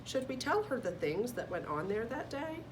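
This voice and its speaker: A woman reads a picture book aloud for children. Her delivery is animated, at a medium pitch. She sounds distant from the microphone, with clear noise around her. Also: moderate pace. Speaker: co-reader of a children's story. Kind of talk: expressive children's read-aloud.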